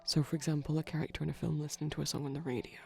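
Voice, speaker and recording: Soft creaky-voiced ASMR rambler, often whispering. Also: medium pitch, level tone, rapid-fire. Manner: rambling, explanatory, frequently dropping to whispers. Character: shy pastel vampire boyfriend, gently geeky. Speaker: ASMR performer, playing a shy boyfriend character. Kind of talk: soft rambling ASMR commentary over a movie. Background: TV playing in the background.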